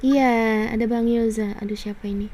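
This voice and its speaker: A woman with an Indonesian accent chatting casually and playfully on a game livestream, giggly and quick to react. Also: fairly high pitch, steady tone, warm tone, faint music behind.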